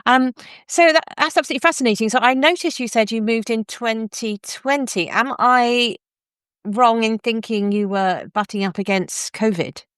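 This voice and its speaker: A woman's voice: a little high, moderate pace, fairly bright tone, smooth, no echo, studio quality. A woman hosting a conversational literary interview, articulate and discursive, explaining things for non-specialist listeners. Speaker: podcast host interviewing an academic.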